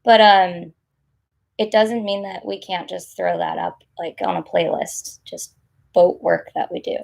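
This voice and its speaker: A woman with a naturally raspy voice, still hoarse after losing it, talks loosely to her viewers. She rambles through fillers and small laughs. Her tone is gravelly at a middling pitch. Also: fairly even intonation, unhurried, a little mellow. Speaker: vlogger answering live chat. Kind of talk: casual live-stream chat.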